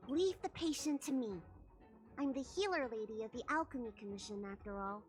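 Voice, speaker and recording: A woman's voice: on the high side, expressive, fairly quick, soft background music, natural voice. A woman voicing a spirited young girl healer in a video game, bossy in a caring, doctorly way. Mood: firm, reassuring.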